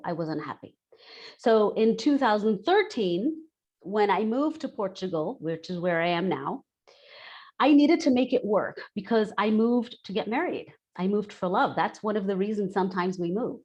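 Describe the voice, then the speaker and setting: A woman's voice: mid-range voice, highs cut off. A woman in her forties from Brazil, an expat coach giving a candid, chatty webinar talk over a video call and often restating her points. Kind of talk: webinar presentation.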